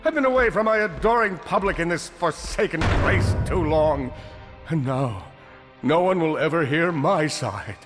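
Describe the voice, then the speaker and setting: Vain hammy space hero, pompous, self pitying, swoopy highish voice, music behind. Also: male voice, lively intonation, measured pace. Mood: wounded vanity. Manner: pompous, dramatic.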